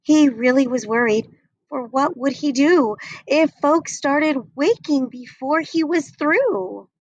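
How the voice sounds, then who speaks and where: A woman's voice: high voice, slow, dry recording, dull audio. A young woman reading a picture book aloud, expressively, on a webcam at home. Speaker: reader.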